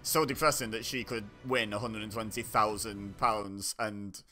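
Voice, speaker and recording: A man from the UK talks through a reality show episode in loose, chatty bursts. His voice is bright and forward, and he laughs and trips over his own sentences as he jokes. It sounds like a close mic in a home setup. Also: fairly low pitch, fairly even intonation, moderate pace, soft background music. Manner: chatty, joking, laughs often, self-interrupting. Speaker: online reaction host. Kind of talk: casual reaction commentary.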